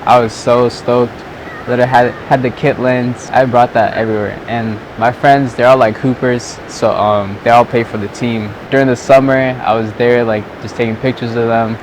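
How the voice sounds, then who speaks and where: A man's voice: medium pitch, level tone, normal speed. A young man from Southern California, a vlogger and photographer, chatting loosely to the camera outdoors at sunset, rambling with lots of "like"s. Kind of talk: casual chat to camera. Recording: outdoor noise with traffic.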